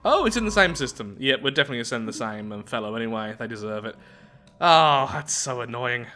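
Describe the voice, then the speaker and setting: Bright-voiced man narrating a strategy game. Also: medium pitch, expressive, quick pace. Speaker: gaming video host. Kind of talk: roleplay game commentary mixed with read-aloud event text.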